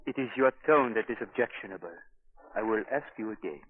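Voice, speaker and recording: An actor playing an officious, menacing police inspector, speaking in a formal, clipped way in 1940s radio style. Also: male voice, on the low side, animated, fairly quick, lightly acted. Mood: coolly official. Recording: tinny, noisy 1940s radio recording.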